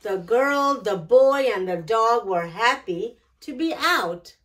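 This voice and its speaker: Slow-paced woman reading a children's story. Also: fairly high pitch, lively intonation, fairly bright tone. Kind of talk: children's picture book read-aloud. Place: small decorated indoor storytime set.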